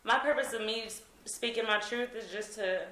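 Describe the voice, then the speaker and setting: A woman's voice: on the high side, normal speed, a bit bright. A young woman giving an interview, talking earnestly and hesitantly with many fillers and restarts. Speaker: interview guest. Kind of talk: candid interview testimony. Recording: roomy with faint noise.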